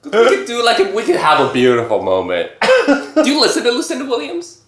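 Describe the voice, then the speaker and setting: A middle-aged man from Taiwan, a podcast guest chatting casually, animated and quick with questions. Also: extremely high pitch. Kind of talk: casual conversation. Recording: roomy echo.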